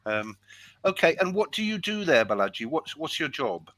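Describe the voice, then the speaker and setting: A man's voice: on the high side, sing-song, moderate pace, a bit bright. A British man tutoring English over a video call, friendly and chatty, explaining things step by step. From United Kingdom. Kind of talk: online tutoring chat.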